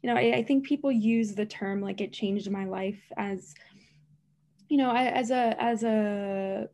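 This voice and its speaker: A woman who stutters speaks in an unhurried, deliberate way, often repeating sounds and words. As a speech therapist and interview guest, she gives earnest, emphatic answers. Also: medium pitch, mellow. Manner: stutters, with repetitions and blocks. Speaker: guest, speech-language pathologist.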